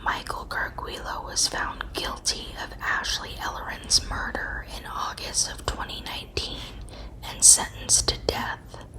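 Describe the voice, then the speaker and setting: Woman whispering slowly, ASMR narration. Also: poor audio. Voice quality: breathy unvoiced whisper. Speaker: true crime narrator. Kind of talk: whispered ASMR scripted true crime narration.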